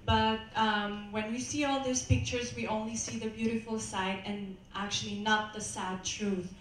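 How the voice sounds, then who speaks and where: Woman in her twenties, pageant contestant, careful halting answers, echoey hall, distant mic, faint room noise. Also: fairly high pitch, flat delivery. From Austria. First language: German. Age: 20s. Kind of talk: answering judges' questions. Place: hotel event hall.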